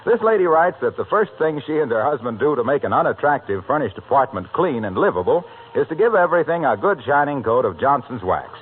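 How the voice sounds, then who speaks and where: A man's voice: mid-range voice, sing-song, fairly quick, hardly any pauses, husky. An American man announcing and reading commercials in polished, emphatic 1940s radio style. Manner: polished, emphatic salesman delivery. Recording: narrow, slightly noisy old radio broadcast.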